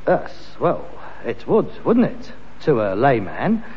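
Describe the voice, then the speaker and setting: An actor playing a pretentious, defensive grown son in a vintage British sitcom, with a London accent and a blustering delivery. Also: male voice, mid-range voice, wildly swooping pitch, slow pace, big-room sound, dull audio, slight background noise.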